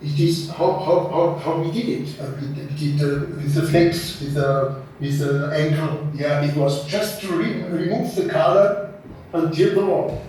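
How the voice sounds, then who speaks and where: A man from West Austria with an Austrian German accent, a German speaker recalling past exhibitions in halting English full of repeats and restarts, in a large exhibition space. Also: on the high side, a little mellow, a little hiss or hum. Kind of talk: casual recollection on a guided tour. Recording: roomy hall, speaker far from mic.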